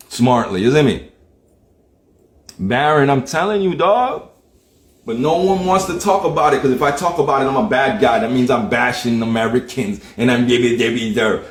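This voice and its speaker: Ranting man. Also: mid-range voice. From Jamaica. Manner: heated, profane, preachy, fires rhetorical questions. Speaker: livestream host. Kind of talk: ranting monologue. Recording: roomy echo.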